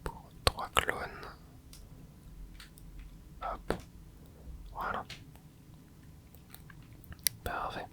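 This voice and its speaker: A man hosting an ASMR channel, whispering soft, casual commentary to himself as he plays a video game, full of gamer slang. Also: drawn-out words, long pauses. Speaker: ASMR gaming channel host.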